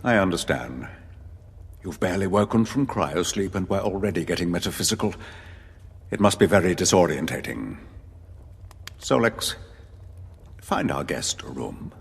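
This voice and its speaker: Low, gravelly, smug war leader. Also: male voice, sing-song, moderate pace, pauses often, heavily acted. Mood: placating. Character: smug, coolly enthusiastic male military leader. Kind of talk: audio drama acting. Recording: reverberant hall with music bed.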